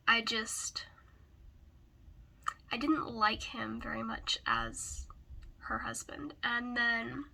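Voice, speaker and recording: Slow, raspy woman with a cold. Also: on the high side, sharp tone. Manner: hesitant, searching for words. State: hoarse from a cold. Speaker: book reviewer. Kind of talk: casual video book review.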